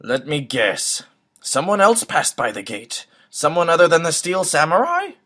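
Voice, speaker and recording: A man playing a pompous old courtroom judge in an amateur fan dub of a game, formal and ponderous, with a husky voice. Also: fairly high pitch, big pitch swings, moderate pace, fairly bright tone, a little hiss or hum. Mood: skeptical. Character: pompous, deliberating old male courtroom judge, easily swayed.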